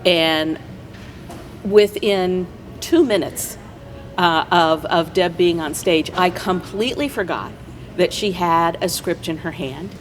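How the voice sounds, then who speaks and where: A woman's voice: lowish voice, slow. An American woman speaking conversationally as a guest in a casual interview. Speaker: interview guest, play director. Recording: faint background noise.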